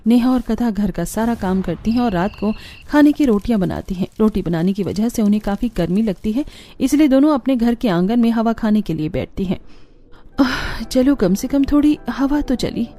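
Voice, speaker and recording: A woman's voice: medium pitch, animated, brisk, dark tone. A woman reading a scripted moral story as its narrator. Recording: music behind the voice. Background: background music.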